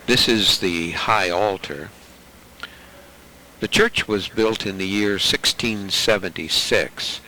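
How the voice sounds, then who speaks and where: A man narrating a home travel video in a measured, informative way. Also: fairly low pitch, sharp tone, a little hiss or hum, low-quality recording.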